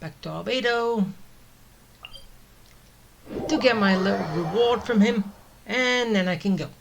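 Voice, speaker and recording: A woman's voice: mid-range voice, measured pace, creaky, background noise. A woman streamer giving casual, reactive commentary as she plays a game. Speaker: gaming streamer.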